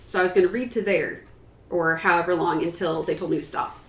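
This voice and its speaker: A woman's voice: on the low side, steady tone, fairly quick. A woman writer on a panel, telling a personal story in a casual, conversational way. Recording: roomy echo with some background noise.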